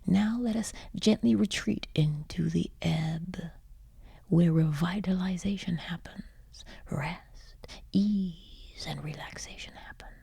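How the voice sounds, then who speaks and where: Very breathy, slow, low-voiced woman. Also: no echo. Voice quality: very breathy, half-whispered, creaky. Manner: soothing, gentle, lingering pauses with short encouragements. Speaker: meditation guide. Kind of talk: guided sleep meditation, soft instruction.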